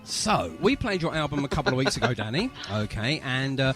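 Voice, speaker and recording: A man's voice: medium pitch, very animated, brisk, over music. A man hosting a casual radio interview, chatting in a jokey, effusive way. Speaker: radio show host.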